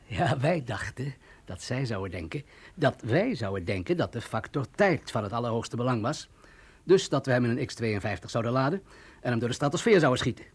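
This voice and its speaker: An actor playing an anxious, cynical security chief in a radio drama, with a nervy, emphatic delivery. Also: male voice, medium pitch, expressive, fairly quick, breathy edge. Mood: explaining, tense. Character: anxious male security chief, wound tight, cynical strategist.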